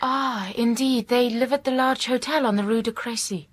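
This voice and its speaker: A woman playing a reserved, quietly firm young English schoolteacher in a period radio drama, speaking in a measured, formal way. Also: a little high, steady tone, no echo, lightly acted.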